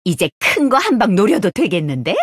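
A woman's voice: mid-range voice, big pitch swings, brisk, husky, no room sound, studio quality. A woman voicing a brash, money-hungry young female mercenary leader in a game, with cocky, theatrical taunts. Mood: smug, haggling. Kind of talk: Korean mobile game character voice lines.

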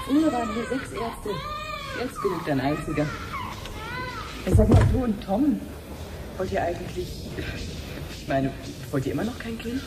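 An actress playing an obliging clinic researcher in a 1970s radio play, explaining things patiently and conversationally. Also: female voice, medium pitch, sing-song, normal speed, pauses often, very noisy, close to an everyday voice. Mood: friendly, chatty. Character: chatty, obliging female clinic researcher showing her sister around.

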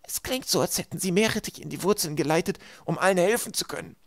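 A man voicing a weakened, clever teenage girl in a storybook, earnest, breathy and strained. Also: sits high, lively intonation, quick pace, no echo, voice pushed up. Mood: reasoning, strained. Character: poisoned teenage girl, clever and protective elder sister.

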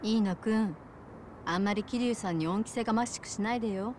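An actress playing a friendly, straightforward bar owner in a video game, talking casually and directly. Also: female voice, medium pitch, a little fast, a little mellow, slight background noise, natural voice. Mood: reproving. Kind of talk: video game cutscene acting.

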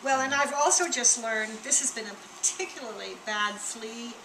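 A woman with a fairly high voice explains her garden eagerly and chattily, often rushing ahead. She runs a farm stand. Her voice rings in an echoey room with noticeable noise behind it. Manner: chatty, explains eagerly, quickens when excited. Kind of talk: casual explanation to a visitor. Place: inside a small farm stand.

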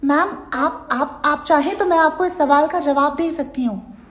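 A man's voice: very high voice, measured pace, a bit bright, voice pushed up. A man voicing a polite, patient female call-centre agent in a prank phone call. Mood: patient, helpful. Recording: roomy indoor echo.

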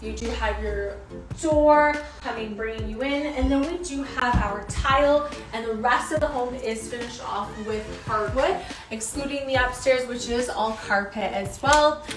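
Woman, real estate agent, cheerful tour guide, higher voice, distant roomy sound with music bed. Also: measured pace. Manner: upbeat, repeats words for emphasis. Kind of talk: walkthrough house tour. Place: inside a house, walking room to room.

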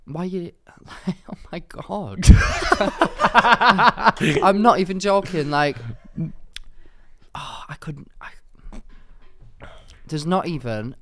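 A British man in his twenties co-hosting a podcast in casual chat, expressive and given to exaggeration, on a close studio microphone. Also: a little high, extremely slow, long pauses. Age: 20s. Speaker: podcast co-host. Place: small office-style studio, indoors. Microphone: close podcast microphone on stand.

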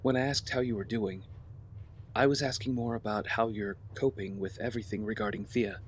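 A man's voice: fairly low pitch, steady tone, brisk, a little hiss or hum, close to an everyday voice. A man playing an earnest, burdened young vigilante leader in an audio drama, serious and sincere. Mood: pained, sincere. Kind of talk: naturalistic audio drama acting.

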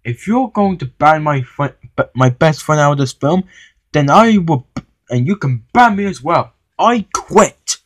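A man voicing an indignant, fiercely loyal steam engine in a children's story, protesting forcefully with some stammering. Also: fairly high pitch, big pitch swings, measured pace, breathy edge, no echo, heavily acted.

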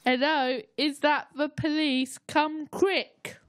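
A woman's voice: high voice, steady tone, slow pace. A woman playing a rude, tired, impatient taxi driver and ticket officer in an amateur radio play. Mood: alarmed, shouting. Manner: blunt, impatient, bossy. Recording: faint background noise.

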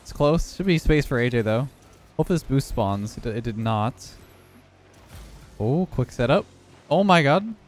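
Hoarse man calmly commentating his gameplay. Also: mid-range voice, unhurried, long pauses. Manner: relaxed running commentary on his own plays. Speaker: gaming YouTuber.

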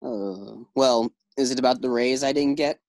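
A woman's voice: very deep voice, moderate pace, a little mellow, no room sound, studio quality. A woman playing an eager, bumbling young husband in a 1940s-style radio comedy. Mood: nervous. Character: young husband George, eager bank clerk.

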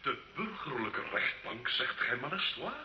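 Proud, theatrical nobleman, animated voice. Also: medium pitch, measured pace, a little hiss or hum. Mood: ironic. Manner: grandiloquent, sarcastic, theatrical. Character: proud, defiant medieval nobleman and marshal, mocking and theatrical. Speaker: actor in a radio drama. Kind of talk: old Dutch-language radio play acting. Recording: dull, echoey old radio recording.

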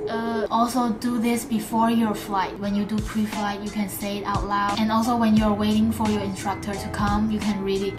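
A young woman giving casual tips to camera in simple, informal wording with non-native phrasing. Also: mid-range voice. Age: young adult. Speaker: student pilot vlogger. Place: small room indoors. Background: background music.

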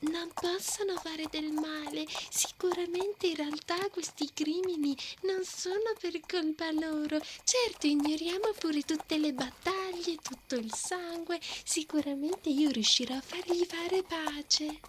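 A woman putting on a sugary, naive damsel in an ASMR roleplay, plaintive, drawn-out and exaggerated. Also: very high voice, background noise, pitched above the performer's own voice. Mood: mocking, naive. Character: fake helpless damsel, sugary, naive, mocking.

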